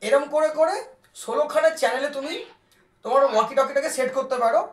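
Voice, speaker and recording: A woman's voice: high voice, fast. A woman giving a casual, chatty unboxing commentary, speaking straight to viewers with English words mixed in. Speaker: vlogger presenting a product. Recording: echoey room sound.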